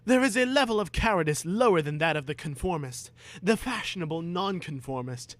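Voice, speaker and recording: A man's voice: on the high side, lively intonation, fairly quick, pitched above the performer's own voice, natural voice. A man voicing an earnest, pompous schoolboy who lectures with great self-assurance in a dramatic reading. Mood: defiant, lecturing. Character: earnest, pompous schoolboy free-market zealot.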